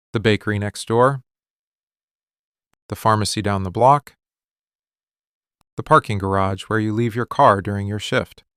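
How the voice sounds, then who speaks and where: A man playing a possessive, obsessive mafia boss in an intimate boyfriend ASMR roleplay, speaking slowly, deliberately and with commanding, menacing intensity. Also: lowish voice, fairly bright tone, no room sound, clean studio sound. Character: possessive, obsessive male mafia boss confronting his love. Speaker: ASMR voice actor.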